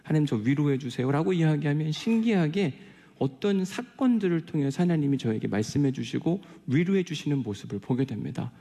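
Man preaching in a reverberant hall, gravelly voice. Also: a little high, expressive, fairly quick, warm tone. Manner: conversational, earnest storytelling with rhetorical questions. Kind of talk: sermon with personal stories and diary reading.

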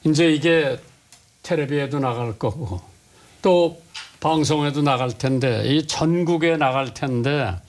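A man's voice: on the high side, a little slow. An older man hosting a conversational interview, asking blunt questions in an informal, familiar tone. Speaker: host.

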